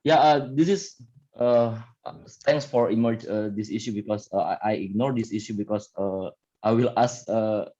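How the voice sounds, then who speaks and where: A non-native English-speaking man on a video call, halting and searching for words as he explains his view. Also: fairly low pitch, warm tone. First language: not English. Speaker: doctoral researcher. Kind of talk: casual meeting comment. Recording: muffled video call audio.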